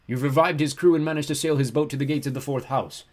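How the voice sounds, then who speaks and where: A man acts out a lonely, ancient ram-headed giant guarding a gate in a storybook tale. The voice booms with a bleating edge, mildly courteous in its threats and heavy with melancholy. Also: fairly even intonation, fast, lightly acted. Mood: regretful. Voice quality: booming, bleating.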